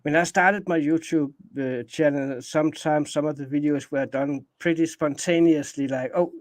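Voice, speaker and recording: A man's voice: fairly high pitch, fairly even intonation, moderate pace, no echo. Danish-accented man, rambling, dry sound. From Denmark. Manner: rambling, many restarts and fillers. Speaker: guest, space anomaly researcher. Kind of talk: casual interview chat.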